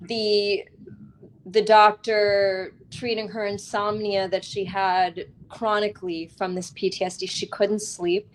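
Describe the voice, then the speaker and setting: A woman's voice: medium pitch, level tone, slow, slight background noise, rough recording. Woman author speaking reflectively in an interview. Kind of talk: earnest reflective interview talk.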